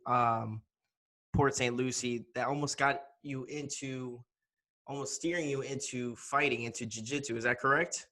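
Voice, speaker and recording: A man's voice: mid-range voice, fairly bright tone. A man from New Jersey who grew up in Miami, hosting an interview as an enthusiastic fan of his guest. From New Jersey, raised in Miami. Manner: enthusiastic fan, friendly, asks questions. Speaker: podcast host. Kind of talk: casual interview conversation.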